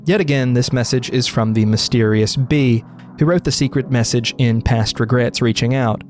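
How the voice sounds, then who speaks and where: A man's voice: medium pitch, fairly even intonation, moderate pace, a little mellow. Man narrating scripted horror explainer over music.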